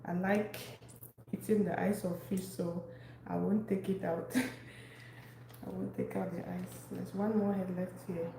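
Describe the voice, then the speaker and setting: A woman from Cameroon, hosting a casual cooking live stream and chatting warmly with viewers by name as she cooks in her kitchen. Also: fairly low pitch, little pitch movement, measured pace, creaky. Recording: echoey room, faint background noise.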